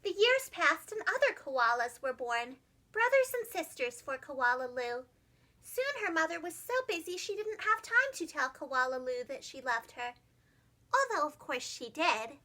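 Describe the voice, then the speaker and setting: A woman reading a children's storybook aloud like a preschool teacher, expressive and dramatic, speaking carefully for young listeners. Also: high-pitched, unhurried. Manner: animated, dramatic, gentle enunciation for young children.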